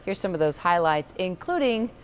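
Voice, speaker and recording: A woman's voice: lowish voice, big pitch swings, moderate pace, a little hiss or hum. A woman presenting a scripted news item.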